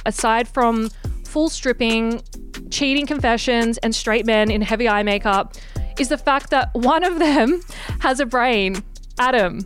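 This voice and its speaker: Sassy sarcastic young woman host. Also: a little high. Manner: sassy, sarcastic and irreverent, swears freely. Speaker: podcast host. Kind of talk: casual gossip podcast monologue.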